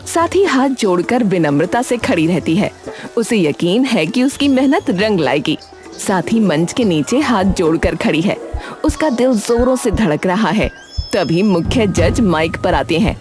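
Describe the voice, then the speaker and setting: A woman serves as narrator of a cartoon story. She reads scripted narration line by line. Music plays clearly in the background. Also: medium pitch, animated, unhurried, a little mellow.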